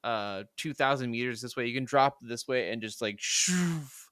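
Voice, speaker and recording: A man's voice: medium pitch, moderate pace, fairly bright tone, clean tone, no echo, studio quality. A man co-hosting a podcast, chatting casually and enthusiastically about video games and laughing easily. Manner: enthusiastic, gushing. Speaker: podcast co-host.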